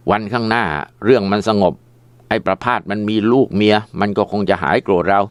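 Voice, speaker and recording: A man voicing a desperate, defiant young husband in a spoken story, shouting and pleading. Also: lowish voice, steady tone, fairly quick, smooth, no echo, lightly acted. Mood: calm.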